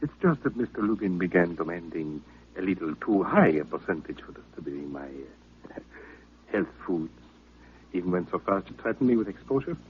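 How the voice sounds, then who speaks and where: An actor playing a pompous, villainous doctor, formal, smug and coolly menacing, in an old radio drama. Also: male voice, sits low, very animated, a little fast, a little hiss or hum. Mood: smug menace. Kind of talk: 1950s radio drama acting. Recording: narrow, tinny old-time radio recording.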